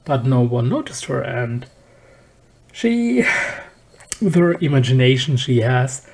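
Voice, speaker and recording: A person giving a casual, halting episode review as an anime reviewer, in slightly non-native phrasing. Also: medium pitch, animated, creaky, a little mellow, a little hiss or hum.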